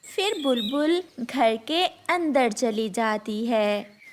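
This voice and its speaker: A woman storyteller with a high-pitched voice. She narrates a scripted children's tale at a slow, measured pace. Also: animated, a little hiss or hum.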